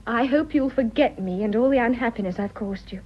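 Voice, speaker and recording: Young upper-class English wife female actor, radio drama. Fraught and guilt-stricken, high, breathy voice. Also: brisk, natural voice. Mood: distressed, grateful. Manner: earnest, emotionally fraught. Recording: muffled, dull radio drama recording.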